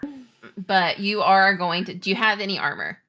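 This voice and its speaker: A woman game master improvising narration and rules in a halting, conversational way. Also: medium pitch, sing-song, pushed and bright. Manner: halting, conversational, pieced-together descriptions. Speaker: game master of a tabletop roleplaying game.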